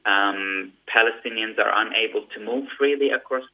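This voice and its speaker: A man from Gaza speaks as an interview guest, giving long, earnest explanations and often restarting his phrases. His voice sits a little low. The sound is thin and tinny, like it comes down a phone line. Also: normal speed. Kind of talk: interview answer.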